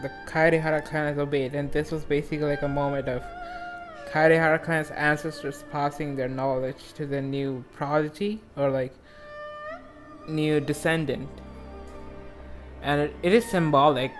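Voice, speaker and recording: A man giving casual, excitable and rambling reaction commentary on a video game in an informal way. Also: fairly high pitch, moderate pace. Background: game music.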